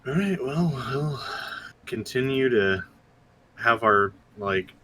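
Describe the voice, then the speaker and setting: A man chatting casually as a player in a tabletop game, halting and often repeating the starts of his phrases. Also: mid-range voice, expressive, fairly bright tone.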